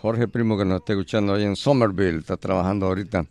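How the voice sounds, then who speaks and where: Male co-host, easygoing short asides, lowish voice, dry mic. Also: fairly even intonation, very fast, darkish tone, dry recording. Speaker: radio co-host. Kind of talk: casual live radio chat.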